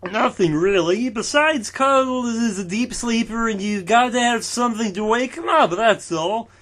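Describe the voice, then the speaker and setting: A man's voice: very high-pitched, moderate pace, fairly bright tone, pitched above the performer's own voice. A man voicing a naive, blabbering male beast keeper in a comic storybook reading, rambling as he blurts things out. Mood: defensive, blurting.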